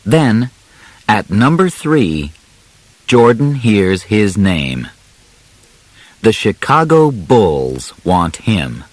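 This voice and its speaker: Male narrator for a simplified audiobook: very unhurried, clearly articulated phrasing, lively intonation, dry close sound. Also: lowish voice, no echo. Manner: deliberate, carefully enunciated, short phrases with pauses.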